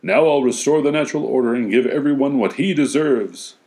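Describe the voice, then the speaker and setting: A man's voice: medium pitch, a little fast, a little mellow, pitched above the performer's own voice, natural voice. A man voicing Father Christmas, a kindly and resolute old winter spirit.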